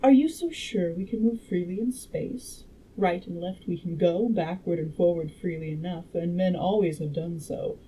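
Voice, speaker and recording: Storybook role: assured gentleman inventor lecturing, mellow tone, played by a woman, some background noise. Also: lowish voice, moderate pace, hardly any pauses, natural voice. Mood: challenging. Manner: didactic, assured. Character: confident Victorian gentleman inventor lecturing on his theory. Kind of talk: audiobook-style character reading. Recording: noisy room background.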